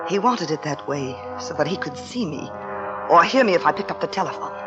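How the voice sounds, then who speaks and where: An actress playing a frightened, guilt-haunted young wife in a 1940s radio thriller, pleading anxiously and often repeating herself. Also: female voice, low voice, close to an everyday voice. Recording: dull old radio recording with music.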